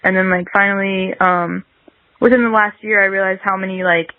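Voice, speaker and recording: A woman's voice: medium pitch, fairly even intonation, fairly quick, dry recording. Young woman chatting, dull lo-fi sound. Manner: rambling, dense with filler "like". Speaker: podcast guest, singer-songwriter. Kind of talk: casual interview conversation. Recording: dull lo-fi radio-like line.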